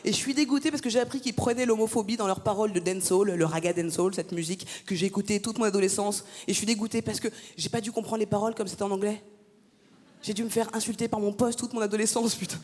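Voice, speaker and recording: A woman's voice: medium pitch, fast talker, darkish tone. A woman from France performing a stand-up comedy set on a theatre stage, teasing the crowd with punchy banter. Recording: live gala, long hall reverb.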